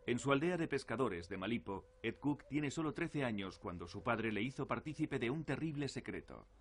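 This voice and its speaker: A man's voice: medium pitch, animated, moderate pace. A man reading scripted narration for a documentary. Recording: clearly noisy documentary soundtrack. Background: clear background noise.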